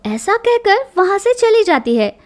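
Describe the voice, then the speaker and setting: Female storyteller, scripted narration of a domestic tale, very high voice, unhurried pace. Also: very animated.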